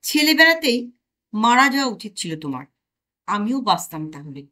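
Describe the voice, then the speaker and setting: A woman's voice: fairly high pitch, big pitch swings, moderate pace, sharp tone, heavily acted. A woman playing an exasperated, sarcastic protagonist of a comic story, arguing in an indignant, wry way. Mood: scornful. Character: exasperated, sarcastic narrator confronted by own conscience.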